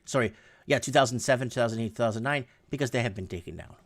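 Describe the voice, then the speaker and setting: A man's voice: mid-range voice, very fast. A middle-aged man, a native Portuguese speaker, hosting a livestream and casually reading and commenting on news headlines with rambling, sarcastic asides. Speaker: livestream host.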